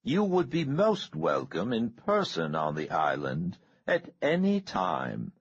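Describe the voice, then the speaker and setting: A man voicing a courtly, smooth-talking male billionaire with an oily, polite manner. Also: mid-range voice, wildly swooping pitch, slow pace, dull audio, voice pushed up, heavily acted.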